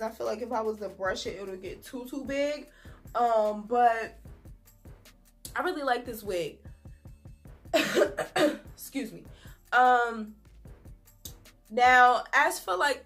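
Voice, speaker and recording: A young woman's voice, thick and husky from a bad cold, with sniffles between phrases. She chats in a loose, relaxed way, stretching words and filling gaps with "um". Faint music plays softly behind her. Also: mid-range voice. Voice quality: sniffly, husky from a sore throat. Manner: chatty and relaxed, drawn-out words, many "um"s. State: congested with a cold, throaty. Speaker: beauty vlogger. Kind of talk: casual wig review to camera. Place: small indoor room.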